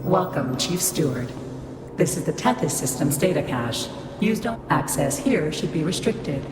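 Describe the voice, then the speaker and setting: An actress voicing a cold, imperious supercomputer in a video game, speaking in formal, clipped, emotionless and rigidly logical phrases. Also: female voice, low-pitched, animated, unhurried. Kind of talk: video game cutscene voice acting. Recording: echoey long reverb under clear music.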